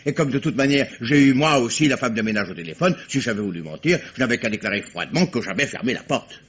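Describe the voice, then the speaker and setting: A man's voice: medium pitch, lively intonation, quick pace, a bit bright, dull audio, natural voice. An actor playing an indignant, argumentative criminal lawyer in a 1950s-style French radio mystery, theatrical and pompous in his protests. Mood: defensive.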